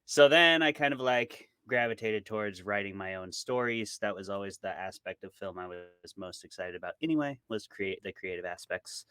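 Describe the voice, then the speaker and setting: A man's voice: lowish voice, animated, moderate pace, few pauses, pushed and bright, clean tone, no echo. A man from Montana with an American accent, chatting casually and with self-deprecating humor as an interview guest. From small town in Montana, United States. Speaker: interview guest, horror writer. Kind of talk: casual conversational interview answers.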